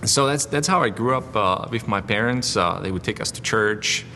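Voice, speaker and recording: Czech-accented male missionary, low voice, relaxed and laughing, reverberant hall with faint noise. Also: normal speed. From Czech Republic. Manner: easygoing, laughs often, many fillers. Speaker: missionary guest. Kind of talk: casual interview.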